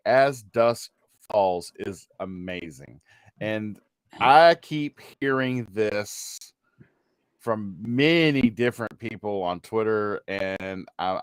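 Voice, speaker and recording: A man's voice: fairly low pitch, smooth, no echo. A man hosting a live gaming show in an easygoing way, leading into topics. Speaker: host.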